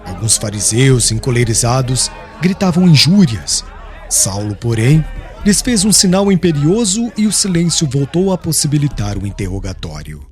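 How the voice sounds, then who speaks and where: A man's voice: medium pitch, sing-song, moderate pace, a little mellow, soft background music. A man narrating a literary audiobook in a solemn, formal and dramatic style.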